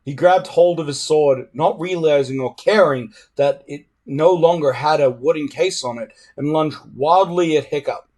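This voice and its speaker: A man reading a storybook aloud in an expressive, dramatic way. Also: a little high, normal speed, hardly any pauses. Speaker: reader.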